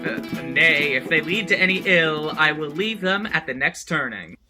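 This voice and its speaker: An actress plays a scornful young noblewoman in a Shakespearean radio play. Her lowish voice swings widely as she mocks with theatrical wit, over loud guitar music. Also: lively intonation, normal speed. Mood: mocking. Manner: mocking, theatrical. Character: sharp-tongued, witty, scornful young noblewoman.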